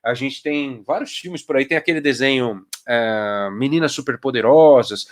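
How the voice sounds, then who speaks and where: A man's voice: mid-range voice, moderate pace. Paulista man chatting on a video call. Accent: Paulista Brazilian Portuguese. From São Paulo, Brazil. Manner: conversational, asks rhetorical questions, briefly voices old folks. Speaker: children's author. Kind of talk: casual talk in a live video conference.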